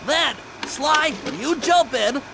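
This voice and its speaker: A man's voice: extremely high pitch, takes it slowly, gravelly, background noise, full character voice. A man voicing a nerdy, brainy mission planner in a video game, briefing in a precise, pedantic way. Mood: focused, instructive. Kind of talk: video game cutscene acting. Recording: background music.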